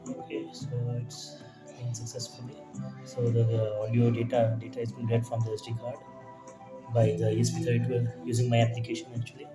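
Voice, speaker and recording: A man giving an informal technical demo in a halting way, often tacking "actually" onto his phrases. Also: mid-range voice, completely flat delivery. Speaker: electronics hobbyist presenter. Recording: echoey room, distant mic, faint music.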